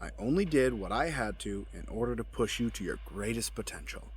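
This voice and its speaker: An actor in an audio drama playing a cold, courteous, calculating crime boss with formal, polished phrasing. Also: male voice, on the low side, expressive, a little fast, slight background noise, close to an everyday voice. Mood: calm, revealing. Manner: formal, urbane, elaborate wording.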